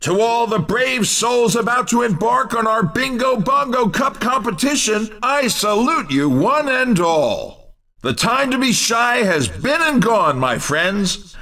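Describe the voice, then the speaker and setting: Shrill, manic race announcer. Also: male voice, big pitch swings, unhurried, pushed and bright, distant mic, full character voice. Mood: eager showman. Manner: folksy, exuberant showman patter. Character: manic, over-excited male race announcer. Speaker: racing commentator. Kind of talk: hyped video game race commentary.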